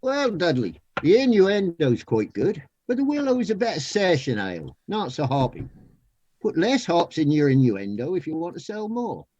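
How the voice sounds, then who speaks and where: Lisping know-it-all male pub regular for radio comedy, played by a man, husky, animated delivery, lo-fi sound. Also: on the high side, moderate pace, no echo. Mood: dry, challenging. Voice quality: lisp.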